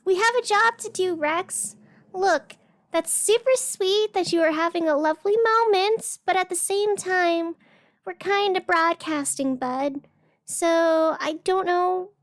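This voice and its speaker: Woman acting a radio host, very high voice, chatty, frazzled, exasperated, dry close sound, fiction podcast broadcast. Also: dry recording. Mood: pleading, exasperated.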